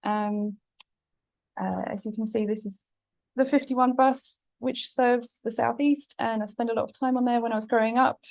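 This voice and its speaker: A woman from southeast London with a British accent, giving a presentation as a guest speaker in a casual, halting way with fillers and restarts. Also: a little high, steady tone, vocal fry, darkish tone, no room sound. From England. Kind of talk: presentation talk.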